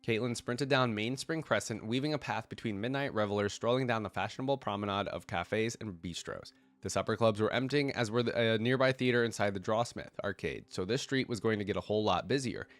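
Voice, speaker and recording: A man congested with a cold, reading stories aloud and reacting to them casually, thinking out loud to his viewers. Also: lowish voice, a little fast, hardly any pauses, no room sound. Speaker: reactor reading game lore aloud and commenting. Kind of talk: casual reaction commentary mixed with story reading.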